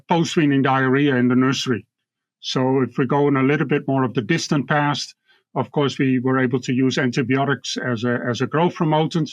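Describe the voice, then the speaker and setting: A man with a Dutch accent explaining things as an expert guest in an interview, measured and halting, often repeating words. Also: medium pitch, no room sound. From Netherlands. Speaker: guest expert, university professor.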